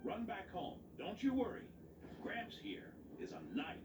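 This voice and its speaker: A man's voice: high-pitched, huge pitch swings, a little slow, exaggerated voice. A man voicing a kindly, protective old grandfatherly knight in a video game drama. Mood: protective, reassuring. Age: older. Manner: reassuring, gently admonishing. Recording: roomy, distant, noisy game capture.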